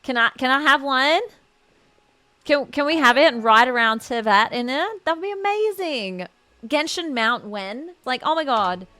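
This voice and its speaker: A woman's voice: high-pitched, lively intonation, measured pace, bright, forceful tone. A woman streaming a game playthrough, reacting casually and gushingly, repeating herself and talking back to the characters.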